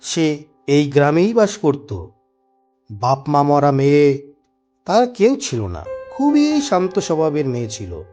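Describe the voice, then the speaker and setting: A male actor as a knowing villager in a horror cartoon, with a slightly high, swooping voice. He explains and admonishes emphatically, like an elder of the place, over background music. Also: wildly swooping pitch, slow pace, long pauses, darkish tone, heavily acted. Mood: grave storytelling. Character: knowing village gentleman, reassuring yet scolding, telling a ghost tale. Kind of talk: dramatic cartoon story dialogue.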